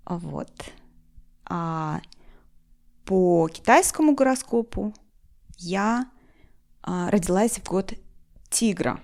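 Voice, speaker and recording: A woman from Ufa, a guest in a chat, speaking in a slow, measured, clear way. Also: fairly low pitch, lively intonation, darkish tone. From Ufa, Bashkortostan, Russia.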